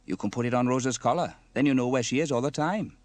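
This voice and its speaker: A man's voice: mid-range voice, fast, no room sound, lightly acted. A man voicing a friendly, good-humoured fireman in a children's story.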